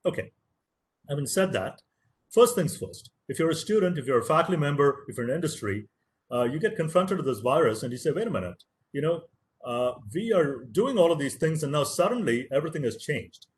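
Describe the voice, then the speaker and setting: A man's voice: a little high, animated, a little fast. Earnest Tamil-native man lecturing. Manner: earnest, emphatic, stresses key words and repeats phrases. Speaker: professor giving a webinar lecture. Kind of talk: motivational lecture.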